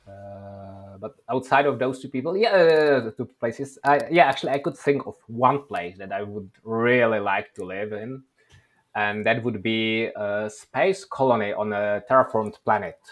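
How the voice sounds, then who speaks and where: Male interview guest, native Czech with Czech accent. Lowish pitch, thoughtful, measured conversational delivery. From Czech Republic. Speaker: interview guest, workshop presenter.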